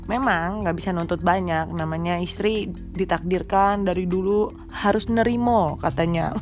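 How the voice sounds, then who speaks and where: A woman's voice: on the low side, moderate pace, a little mellow, faint music behind. A young woman with a stuffy, congested nose, chatting casually and teasingly to mothers as a podcast host. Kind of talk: casual chat to mothers, mixing in English. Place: small rented room.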